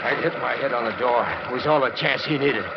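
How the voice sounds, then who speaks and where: A man's voice: mid-range voice, fast talker, gravelly, very noisy, close to an everyday voice. An actor playing a cold-blooded, brooding reporter who narrates his own crime in terse 1940s radio drama style. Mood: tense, haunted. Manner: terse, clipped, hardboiled delivery. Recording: muffled old radio recording, loud music.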